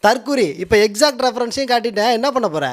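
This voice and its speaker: A man delivers mocking rebuttal commentary in a very high voice. He is colloquial and sarcastic, taunting his opponent as he presents his case. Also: fast talker. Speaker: political commentary video presenter.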